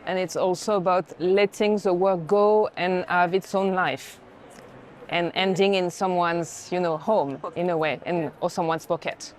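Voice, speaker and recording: A woman curator explaining art in conversation, in a measured, informative way. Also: fairly low pitch, steady tone, a little hiss or hum. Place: art fair.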